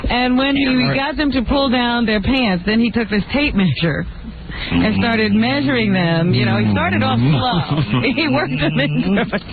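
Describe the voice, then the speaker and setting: A woman co-hosting a radio show, telling news items as casual, deliberate stories with banter. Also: fairly high pitch, animated, background noise. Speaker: radio news reader and co-host. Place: radio studio. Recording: lo-fi radio broadcast, loud music behind.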